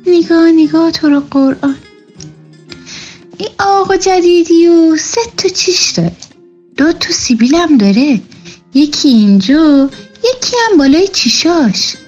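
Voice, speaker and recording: A woman's voice: extremely high pitch, expressive, a little mellow, dull audio, faint music behind. A woman playing a teasing, grumbling young neighbourhood woman with slangy folk speech in a nostalgic radio drama. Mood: mocking.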